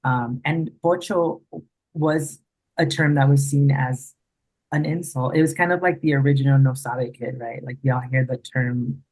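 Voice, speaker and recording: Husky-voiced man, reflective and dry. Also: medium pitch, moderate pace, darkish tone, no echo, low-quality recording. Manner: reflective, thoughtful, hesitant restarts. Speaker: guest. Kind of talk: casual conversational interview.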